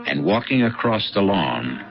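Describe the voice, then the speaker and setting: Low-voiced, animated radio storyteller, a man. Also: unhurried, gravelly. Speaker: host and narrator of a radio mystery series. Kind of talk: scripted dramatic narration. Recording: muffled old radio broadcast over music.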